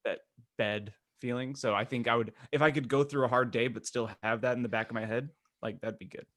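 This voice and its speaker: A man with a mid-pitched voice co-hosts a casual chat podcast. He talks informally and works through his thoughts aloud, circling back as he goes. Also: fairly even intonation, fairly quick, a bit bright. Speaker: podcast co-host.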